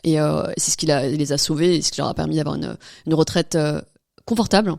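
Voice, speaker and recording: A woman's voice: low-pitched, a little fast, vocal fry, darkish tone, no echo, clean studio sound. A woman being interviewed as a podcast guest, telling her own story in casual, informal speech. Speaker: interview guest, real estate investor.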